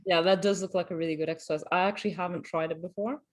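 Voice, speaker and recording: Easygoing woman host, lower voice. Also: fairly even intonation, very fast. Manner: easygoing, agreeable, chatty. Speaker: podcast co-host. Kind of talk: casual interview chat.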